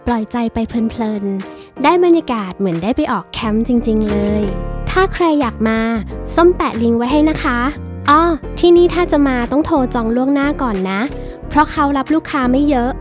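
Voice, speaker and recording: A woman's voice: sits high, lively intonation, normal speed. A woman hosting a travel vlog, giving a cheerful, friendly and polite scripted voice-over. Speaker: vlog host reviewing a cafe. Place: voice-over, not recorded on location. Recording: voice-over with clear background music. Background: background music.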